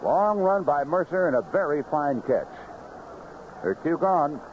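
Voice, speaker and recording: A man's voice: on the high side, expressive, measured pace, narrow, tinny audio, slight background noise. A man calling baseball play-by-play on 1970s radio in clipped phrases with vivid descriptive calls, on a band-limited old broadcast. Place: ballpark broadcast booth.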